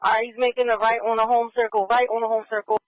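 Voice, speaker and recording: A man's voice: extremely high pitch, flat delivery, fast talker, no room sound. A man working as a police radio dispatcher, repeating locations and orders in clipped radio phrases. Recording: muffled scanner radio audio.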